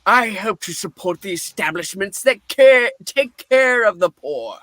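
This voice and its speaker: A man performs a cantankerous old skinflint in a radio-play reading. He is scornful and barking, with a high, forceful voice delivered slowly and recorded very dry. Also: animated, no room sound, full character voice. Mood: curt, sarcastic.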